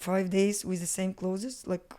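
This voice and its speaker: Woman with a Russian accent, halting English. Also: mid-range voice, fairly even intonation, crowd noise. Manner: halting, searching for words in English, laughs often. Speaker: podcast guest, mountaineer. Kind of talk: casual interview conversation. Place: home studio with bookshelves, indoors. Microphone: studio mic on stand, headphones.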